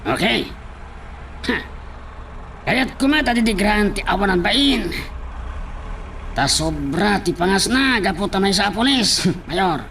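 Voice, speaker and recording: A man playing a sly, fawning henchman in an audio drama, with a creaky voice and an obsequious drawl. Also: high voice, animated, measured pace, pauses often, pushed and bright, a little hiss or hum, exaggerated voice, poor audio. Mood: sly, eager.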